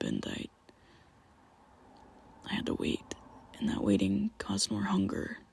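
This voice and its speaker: A man voicing a young male vampire best friend in an ASMR roleplay, whispering softly and haltingly as he confesses. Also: sits high, creaky, husky, exaggerated voice. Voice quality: soft close whispering.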